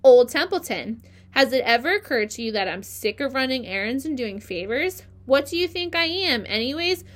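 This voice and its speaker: A woman's voice: high-pitched, sing-song, moderate pace, sharp tone, voice pushed up, heavily acted. A woman voicing a lazy, self-pitying male rat who grumbles and mocks. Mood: sarcastic. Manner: sarcastic, mocking, put-upon.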